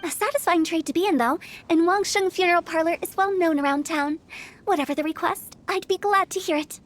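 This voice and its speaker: Young female undertaker for a game: very high-pitched, breezy, mischievous, cheerfully morbid salesmanship. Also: brisk. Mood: cheerful, boastful. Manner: breezy, playful sales patter. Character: quirky cheerful young woman, funeral parlor director, mischievously morbid. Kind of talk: animated video game voice acting.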